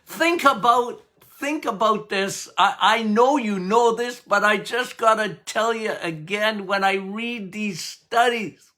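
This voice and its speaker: An older man hosting a health podcast as a doctor, talking loudly and passionately, with frequent laughs. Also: high-pitched, slow. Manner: loud, emphatic, passionate, laughs often. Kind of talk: casual health podcast talk.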